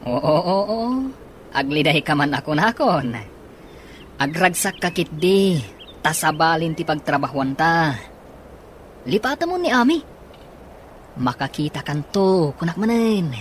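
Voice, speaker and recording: Upbeat Ilocano buddy, faint noise. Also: male voice, a little high, expressive, measured pace, long pauses. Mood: encouraging. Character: supportive young male buddy, consoling and upbeat. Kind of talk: Ilocano radio drama acting.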